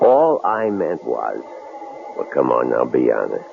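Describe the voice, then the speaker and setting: A man's voice: very deep voice, huge pitch swings, measured pace, creaky, full character voice. An actor playing a big, cold-blooded killer in an old radio drama, taunting his captives in slangy, needling talk. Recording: lo-fi muffled old radio recording, hiss.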